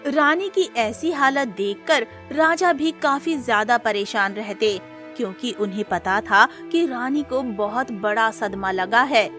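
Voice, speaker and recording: A woman's voice: on the high side, pushed and bright. A woman narrating a scripted moral story as a storyteller.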